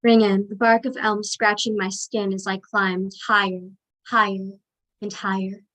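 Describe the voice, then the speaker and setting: A woman's voice: medium pitch, little pitch movement, unhurried, fairly bright tone, natural voice, rough recording. A woman playing a wistful daughter reliving childhood, speaking lyrical, chant-like lines in a staged play reading. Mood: dreamy, nostalgic. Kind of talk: poetic stage monologue.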